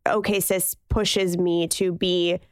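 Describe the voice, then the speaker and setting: A woman's voice: fairly low pitch, flat delivery, takes it slowly, clear, resonant tone, no room sound, studio quality. A young woman on a podcast chatting casually with friends, candid and reflective, talking in long trailing runs. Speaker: podcast co-host guest. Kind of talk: casual chat between friends.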